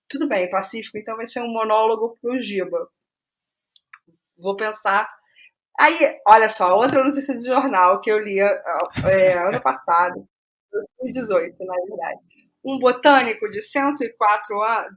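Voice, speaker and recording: Casting: Brazilian woman, fairly high voice, animated conversational storyteller, interview guest thinking out loud. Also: normal speed. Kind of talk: casual conversational interview about writing process.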